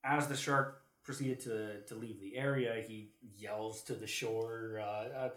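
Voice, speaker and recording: A man's voice: lowish voice, steady tone, measured pace, fairly bright tone, roomy sound. A man co-hosting a casual history chat among friends, telling stories in a hesitant, rambling way. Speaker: podcast co-host.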